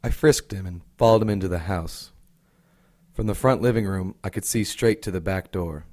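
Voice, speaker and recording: Deep-voiced young detective narrating played by a man. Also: moderate pace, a little mellow, lightly acted. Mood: cautious, tense. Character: earnest, cautious young male police detective. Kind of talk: first-person noir narration in audio drama.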